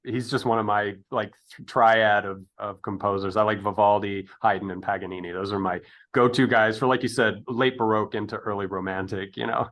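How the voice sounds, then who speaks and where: A man's voice: lowish voice, steady tone, fairly quick, hardly any pauses, a bit bright. A man interviewing a guest in a casual, conversational style, rambling a little as he thinks aloud. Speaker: interviewer, podcast host.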